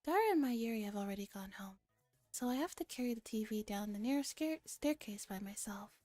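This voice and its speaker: A woman streamer with a soft, breathy, often whispering voice, reading a story and chatting casually in a relaxed ASMR style. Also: mid-range voice, fairly quick, dry recording, soft background music. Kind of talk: ASMR visual novel read-aloud with casual chat.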